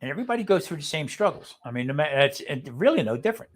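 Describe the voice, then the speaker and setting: A man's voice: on the high side, very animated, racing. A man with a New Jersey accent, chatting and telling stories as a livestream co-host, blunt and rambling, often checking that listeners follow. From New Jersey, United States. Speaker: live show co-host. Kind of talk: casual livestream chat and storytelling.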